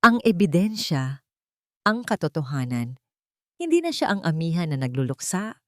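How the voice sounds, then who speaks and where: A woman reading a dramatic scripted story as narrator, in a measured and solemn manner. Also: sits low, big pitch swings, dry recording, clean studio sound.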